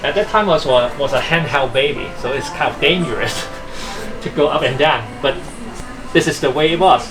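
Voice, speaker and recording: A man in his forties with a Hong Kong English accent, earnestly recalling his past in an unhurried interview with loose grammar. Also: mid-range voice, lively intonation, over music, poor audio. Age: 40s. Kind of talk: personal reminiscence in interview. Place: old building where his family lived and worked.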